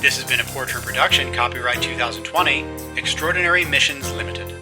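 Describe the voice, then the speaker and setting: A man's voice: medium pitch, fast, over music. A man reading scripted credits as an announcer.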